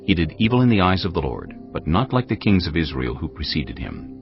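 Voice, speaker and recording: A male narrator reading scripture in a measured, grave storytelling manner. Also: low-pitched, sing-song. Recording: dull lo-fi sound over cinematic music. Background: cinematic music.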